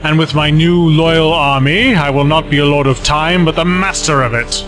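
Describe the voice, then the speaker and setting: A man acts out a megalomaniac Time Lord villain for an audio drama. His voice sits a little high and is full of pompous menace, every line grandiose and commanding. Loud music plays behind him. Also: moderate pace, natural voice. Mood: smug menace.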